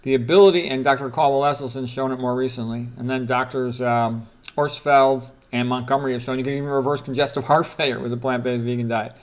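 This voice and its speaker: A man's voice: mid-range voice, fairly even intonation, fast talker. Male, middle-aged or older, mid-range voice. Doctor presenting book quotes off the cuff, emphatic, repeats key words. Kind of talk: informal read-aloud with commentary.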